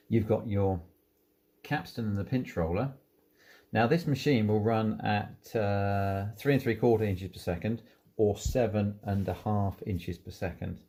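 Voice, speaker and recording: Male presenter for an informal equipment walkthrough: creaky, soft-edged tone, unhurried step-by-step delivery. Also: on the low side. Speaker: presenter demonstrating audio equipment. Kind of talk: informal hands-on product walkthrough.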